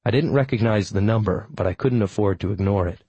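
A man narrating a fantasy novel in the first person, telling the story in a steady, even way. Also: on the low side, a little fast, slightly rough, no echo, dull audio. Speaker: audiobook narrator.

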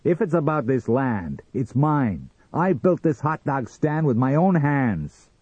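A man voicing a brash, boastful, hot-tempered hot dog vendor in an audiobook story, loud and blustering. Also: medium pitch, big pitch swings, moderate pace, breathy edge, no echo, muffled recording, voice pushed up. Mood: angry, belligerent. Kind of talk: dramatised audiobook character reading.

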